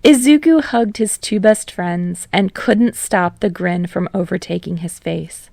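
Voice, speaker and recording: A woman narrates a story at a slow pace. Her voice has a noticeable creak at a middle pitch. The recording is close and dry. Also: darkish tone, dry recording. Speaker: podfic narrator and channel host.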